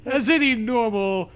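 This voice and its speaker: A man's voice: extremely high pitch, a bit bright. A man hosting a comedy show, reading parody fiction aloud in a mock-dramatic way, often cracking up. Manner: mock-dramatic, breaks into laughter while reading. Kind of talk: comic read-aloud of parody fiction with banter.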